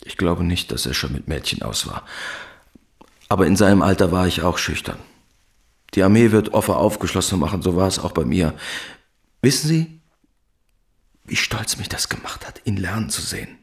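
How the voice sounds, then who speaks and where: A man's voice: sits low, animated, moderate pace, gravelly, pauses often. An actor playing a guarded, weary middle-aged working-class father in a radio drama, speaking in a terse, reflective, subdued way. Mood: wistful, subdued. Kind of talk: German radio drama acting, subdued realism.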